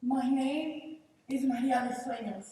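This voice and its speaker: A woman's voice: high-pitched, steady tone, a little slow, mellow. A woman on stage playing a poor, anxious, devoted country girl, earnest and pleading. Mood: sorrowful. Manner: earnest, pleading, Spanish words mixed in. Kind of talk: stage play acting. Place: theatre stage, far camera. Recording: echoey, noisy theatre hall from far off.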